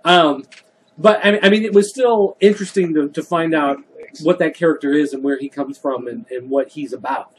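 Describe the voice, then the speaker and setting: A man's voice: fairly high pitch, a little fast, a little hiss or hum, low-quality recording. A man co-hosting a casual comics podcast, explaining story details at length like someone who knows the material well. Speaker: podcast co-host. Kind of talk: casual chat about comics.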